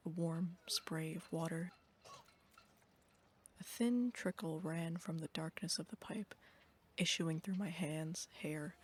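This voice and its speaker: A woman giving a dramatic reading of a first-person horror story in a breathy, whispery, deliberate voice. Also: fairly low pitch, a little hiss or hum.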